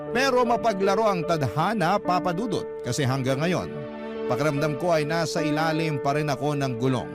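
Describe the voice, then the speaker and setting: A man's voice: fairly high pitch, lightly acted. A man with a Filipino accent reading a regretful woman's confession letter as sentimental, reflective radio narration. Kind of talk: dramatic scripted narration of a listener's letter. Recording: loud music under voice.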